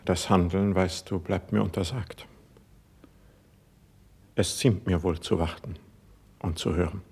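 A man acting a proud, wounded and stubborn court poet in a classical verse radio play, speaking in formal, measured declamation with a husky voice. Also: low voice, fairly even intonation, a little mellow, full character voice. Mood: guarded, grave.

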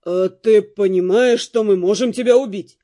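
A man's voice: high voice, normal speed, mellow, lightly acted. An actor playing an indignant, sulky young male robber in an audiobook, with whiny, blustering complaints. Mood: threatening, frustrated.

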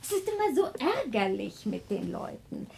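An actress playing a witty, capricious English society hostess in a German radio play, chattering theatrically and teasingly. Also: female voice, mid-range voice, big pitch swings, normal speed, creaky, warm tone. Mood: amused, mock regretful.

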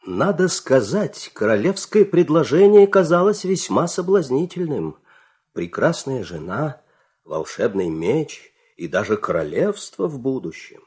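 A man narrating a fairy tale in a measured, expressive, theatrical storytelling style. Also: medium pitch, husky. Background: music.

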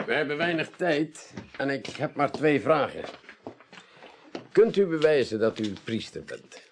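An actor playing a wry, unhurried investigator in a radio play, a gravelly voice and a dry, musing manner. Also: male voice, on the high side, sing-song, a little hiss or hum. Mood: polite, probing. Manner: dry, musing, punctuated with hums. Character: wry, unhurried male investigator questioning a witness. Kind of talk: 1980s radio play acting.

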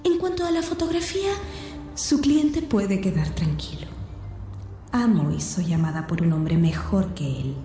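Una actriz que interpreta a una dama astuta, elegante y burlona que lee una carta en una radionovela, con eco. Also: female voice, medium pitch, lively intonation, unhurried, warm tone, faint music behind, lightly acted. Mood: poised, teasing. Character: clever, poised lady adventuress, elegantly teasing. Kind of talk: radio drama letter reading. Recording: roomy echo on the voice.

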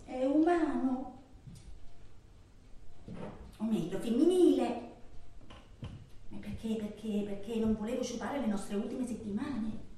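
An actress playing an anguished, lovelorn woman alone by the telephone, in a theatrical monologue with a dramatic, halting delivery. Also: fairly high pitch, dark tone. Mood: subdued, wistful. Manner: dramatic, halting, swinging from murmurs to outcries. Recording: roomy, distant, echoing, faintly noisy.

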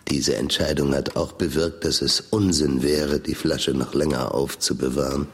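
A man's voice: a bass voice, fairly even intonation, normal speed. An actor playing a rich, brooding older man in a radio drama monologue, musing philosophically and ponderously, at times dropping toward a whisper. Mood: wistful, resigned.

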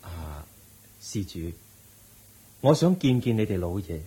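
A man playing a calm, patient Buddha in a radio drama, gently admonishing in a slow, steady way. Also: low voice, slightly rough, heavily acted, low-quality recording. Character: serene, patient male Buddha, a wise teacher. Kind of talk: Cantonese radio drama acting.

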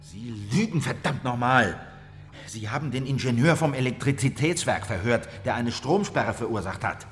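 A man's voice: mid-range voice, lively intonation, normal speed, faint music behind, lightly acted. Stern interrogator, a man acting in echoing space. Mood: accusing, insistent. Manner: hard, pressing, commanding. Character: stern, accusing male government interrogator. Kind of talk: radio drama acting. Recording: reverberant interrogation room.

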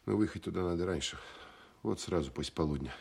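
An actor playing a tough, blunt and weary Soviet counterintelligence officer in a Russian radio drama, talking in a terse, gruff way. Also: male voice, low voice, fast talker, darkish tone, full character voice. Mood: matter-of-fact.

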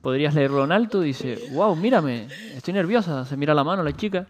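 A man's voice: a little high, lively intonation, fairly quick. A man presenting a radio show, casually retelling things in a conversational storytelling way and voicing quotes as he goes.

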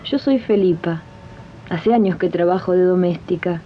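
An actress playing an exploited, fed-up domestic worker turned union organizer in an Argentine radio drama, plain-spoken and earnest. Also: female voice, lowish voice, normal speed, over loud music, close to an everyday voice. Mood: resolute, aggrieved. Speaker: radio drama lead and narrator. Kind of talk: 1980s radio drama acting. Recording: dull, noisy 1980s archive radio tape.

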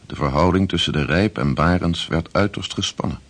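An actor playing a grave chronicler, formally narrating a sea voyage log in a radio drama with a husky, gravelly voice. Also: male voice, deep voice, a little fast. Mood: measured. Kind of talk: scripted narration, formal historical prose.

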